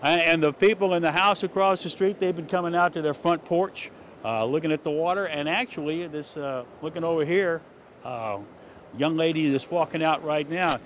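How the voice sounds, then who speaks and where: A man's voice: on the high side, moderate pace. An older man doing a rambling, conversational live news report from a flooded street at night, speaking into a handheld mic. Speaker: field reporter. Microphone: handheld station mic. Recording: faint street noise outdoors.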